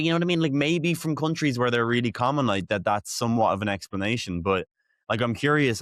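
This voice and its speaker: Chatty Dublin-accented man, fairly low voice. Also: no room sound, clean studio sound. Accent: Irish, Dublin. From Ireland, Dublin. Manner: chatty, animated, many "like" fillers. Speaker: podcast host. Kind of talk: casual conversational interview.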